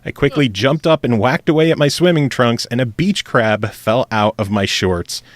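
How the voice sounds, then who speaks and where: A man's voice: mid-range voice, moderate pace. A man hosting a casual gaming podcast, reading listener emails aloud and laughing often. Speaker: podcast host.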